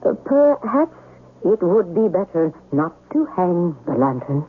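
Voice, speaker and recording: An actress playing a devoted, cautious housemaid in a 1970s radio drama, deferential and earnest. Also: female voice, lowish voice, very animated, unhurried, slight background noise. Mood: respectful, cautious. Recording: phone-like vintage radio.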